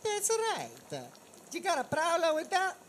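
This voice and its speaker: A high, swooping voice for a talking liquor bottle , acted by a woman. The sidekick is cocky and taunting, drawing out threats in radio-comedy style, with faint noise behind. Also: wildly swooping pitch, unhurried, exaggerated voice. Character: talking Campari bottle, cackling mobster sidekick.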